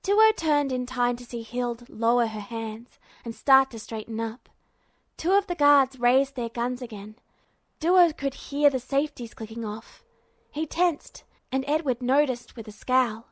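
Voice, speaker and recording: A woman's voice: fairly high pitch, animated, unhurried, no echo. A woman reading a fiction story aloud as a scripted narrator. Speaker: podfic narrator.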